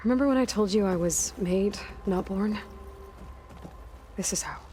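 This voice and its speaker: A woman's voice: medium pitch, a little slow, dark tone, slight background noise, close to an everyday voice. A woman playing a determined, focused young investigator in a video game, serious and matter-of-fact. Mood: quietly serious. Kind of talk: game cutscene drama. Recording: music behind the voice.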